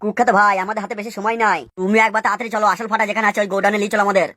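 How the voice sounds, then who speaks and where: A woman's voice: medium pitch, little pitch movement, rapid-fire, fairly bright tone, no room sound, studio quality, lightly acted. A woman playing an insistent, scheming gang member in an amateur cartoon dub. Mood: scheming, urgent. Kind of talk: amateur Bengali cartoon dubbing.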